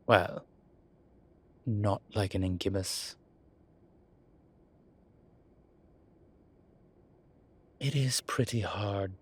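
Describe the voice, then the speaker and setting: Casting: male actor as sardonic incubus demon professor for audio roleplay, very low and slow, guarded but playful. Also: full character voice. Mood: sheepish, hesitant. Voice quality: low, husky voice. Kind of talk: roleplay audio drama, speaking to an unseen listener.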